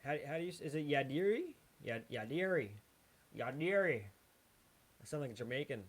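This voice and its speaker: A man's voice: fairly high pitch, sing-song, moderate pace, husky. Chatty man hosting a livestream. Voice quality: chatty and loose, hyping the guest. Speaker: livestream host. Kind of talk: casual pre-show livestream chatter.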